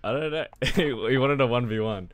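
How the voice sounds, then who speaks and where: A man's voice: medium pitch, brisk. A man, mumbling awkwardly in casual voice chat over a headset. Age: 20s. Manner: mumbling, awkward, laughs nervously. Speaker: gamer.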